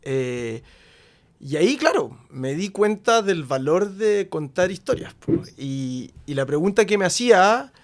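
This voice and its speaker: Brief: Chilean-accented male host, thirties, lively and slangy, high-pitched for a man. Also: a little slow. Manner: animated, laughing, slangy, acts out quotes. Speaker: podcast host. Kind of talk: casual interview chat.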